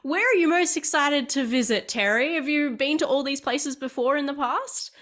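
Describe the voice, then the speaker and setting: A young Australian woman interviews a guest, bubbly and eager, and laughs often between her questions. Her voice sits a little higher than most. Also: moderate pace, a bit bright. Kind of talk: casual interview chat.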